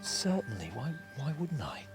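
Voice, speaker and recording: A man's voice: medium pitch, big pitch swings, normal speed, over music, close to an everyday voice. Haughty young British nobleman, swooping intonation, played by an actor. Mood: cocky. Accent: British English. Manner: imperious, mocking, aristocratic. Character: haughty, teasing young nobleman, not yet of age. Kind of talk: video game cutscene acting.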